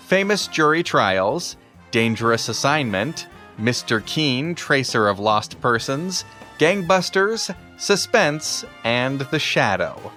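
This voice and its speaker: A man's voice: mid-range voice, bright, forceful tone. A man presenting a vintage record, reading out its track listing in an introduction.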